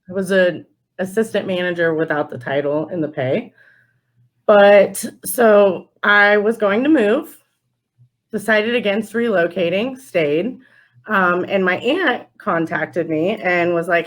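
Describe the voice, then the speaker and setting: A young adult woman speaking as an interview guest, telling stories casually and acting out quoted conversations in an animated way. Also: medium pitch. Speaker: podcast guest, store general manager.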